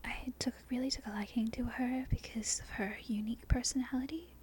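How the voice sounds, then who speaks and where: A woman from Australia with a softened Australian accent, whispering an unscripted ASMR ramble in a halting, breathy way, often correcting herself. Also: on the high side, fairly even intonation, a little hiss or hum. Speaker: amateur ASMR video creator. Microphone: non-binaural microphone.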